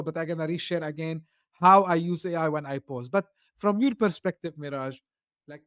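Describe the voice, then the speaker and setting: A male podcast host who is not a native English speaker chats loosely and keeps dropping in "you know," his voice sitting a bit high. The sound is close and dry, with no room around it. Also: no room sound. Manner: talkative, loose phrasing full of "you know". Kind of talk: casual interview chat.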